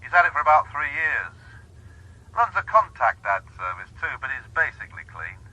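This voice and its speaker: A blunt police inspector in a radio play, full of bawdy banter. His voice is very bright and edged with husk, and it swings widely in pitch. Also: big pitch swings, normal speed, exaggerated voice, poor audio. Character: male police inspector, crude and jokey. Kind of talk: 1980s radio drama acting.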